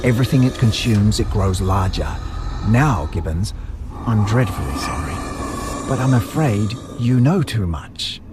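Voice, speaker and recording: An actor playing a sinister butcher villain in an audio drama, theatrical, mock-apologetic and taunting. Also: male voice, on the low side, lively intonation, a little slow, heavy background noise. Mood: menacing relish. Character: sinister, politely menacing butcher villain who feeds victims to monsters. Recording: music under the voice.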